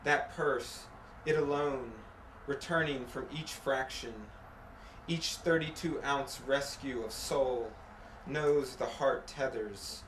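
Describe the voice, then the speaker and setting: A man reads poetry at a slow, deliberate pace, setting short phrases apart with pauses. His voice sits at a middle pitch. The room is echoey, with faint noise behind him. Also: a bit bright. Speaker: poet reading own work. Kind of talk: poetry reading. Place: apartment room at a live reading.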